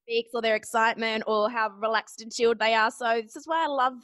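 An Australian woman, a media expert, talking excitedly as a podcast guest. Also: a little high, brisk, dry recording, studio quality. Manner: bubbly, excited, upbeat. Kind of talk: casual interview chat.

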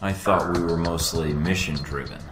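An actor playing a cool, wisecracking young secret agent in a video game, casual and flippant. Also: male voice, deep voice, steady tone, moderate pace, natural voice. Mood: casual, probing. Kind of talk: video game dialogue acting. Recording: roomy echo with music underneath.

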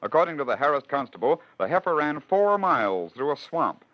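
A man's voice: a little high, sing-song, brisk. An American man hosting and narrating a 1940s radio program with wry, theatrical storytelling. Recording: dull, lo-fi 1940s radio recording.

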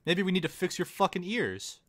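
A man's voice: high-pitched, very animated, brisk, noisy background. A man doing a sarcastic, foul-mouthed comedic dub of a video game. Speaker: parody dubber voicing the game's protagonist. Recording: loud music behind the voice. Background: game music.

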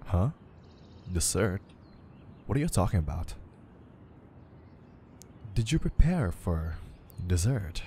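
A man's voice: low-pitched, big pitch swings, slow, long pauses, mellow, a little hiss or hum, exaggerated voice. A man playing a playful, flustered, affectionate young boyfriend in an ASMR roleplay, speaking intimately and breathily, often near a whisper. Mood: lazy, playful.

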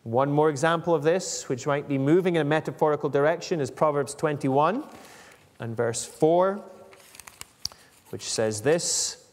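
A man in his forties delivering an expository sermon in a measured, explanatory manner, reading out scripture and commenting on it. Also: on the high side. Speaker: preacher. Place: indoor church hall at a lectern. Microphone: microphone on a stand at lectern. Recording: echoey church hall, long reverb.

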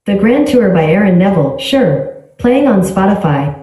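A woman's voice: medium pitch, moderate pace. Woman's assistant voice, synthetic, polite and clipped, echoey room. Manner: even, polite, clipped announcements. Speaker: smart speaker voice assistant. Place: small tiled bathroom. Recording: echoey bathroom, heard from a distance.

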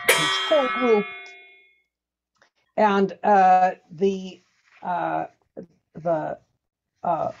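A woman reading a research paper aloud as a dramatic reader, halting and sometimes losing her place. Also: mid-range voice, a little mellow. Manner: halting, loses place, repeats phrases. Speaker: dramatic reader at a comedy science session. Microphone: video call. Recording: dull, lo-fi video call audio.

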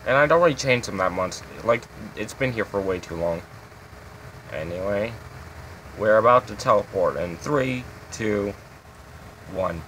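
A man's voice: lowish voice, lively intonation, unhurried, pauses often, a bit bright, a little hiss or hum, poor audio. A man hosting his own game channel, giving a casual, rambling tour of his builds with lots of fillers.

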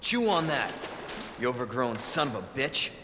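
A man's voice: mid-range voice, big pitch swings, moderate pace, long reverb tail, heavy background noise, close to an everyday voice. An actor playing a tough young male cop fighting a monster, grunting and cursing with effort.

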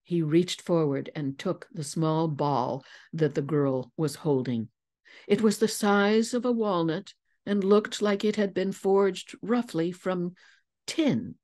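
A woman giving a dramatic, expressive audiobook narration, deliberate and articulate. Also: lowish voice. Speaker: voice actor and narrator.

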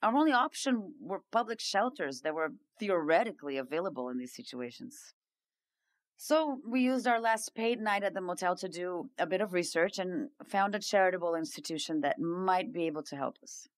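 Low-voiced, weary woman telling her story as acted. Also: lively intonation, moderate pace, no room sound, lightly acted. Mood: tender, worried. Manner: confiding, conversational storytelling. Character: weary, earnest homeless migrant woman recounting hardship. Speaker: narrator-protagonist of an audio drama. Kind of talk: first-person dramatic monologue. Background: plucked guitar music.

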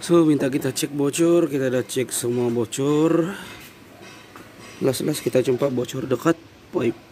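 A man's voice: medium pitch, unhurried, dark tone. A man in his thirties with a Malaysian accent, explaining a car air-conditioning repair step by step in a casual vlog, recorded on a handheld phone in a workshop. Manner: plain, step-by-step explaining with fillers. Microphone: handheld phone camera. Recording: noisy workshop background.

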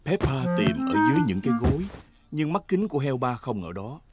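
A man's voice: mid-range voice, very animated, a little fast. A man narrating a children's cartoon from a script. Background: music.

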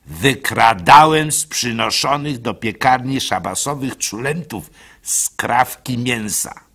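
An actor playing a meek, gullible, lovestruck baker in a radio drama monologue, husky and gravelly, confessing his troubles in a hesitant, stammering way. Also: medium pitch, pushed and bright, exaggerated voice. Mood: smitten, ashamed.

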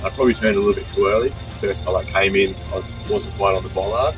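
A man's voice: low-pitched, very fast, music in the background. A young man talking casually to camera on a sailboat deck, thinking aloud as he goes. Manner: relaxed, thinking aloud with restarts. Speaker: sailing vlogger.

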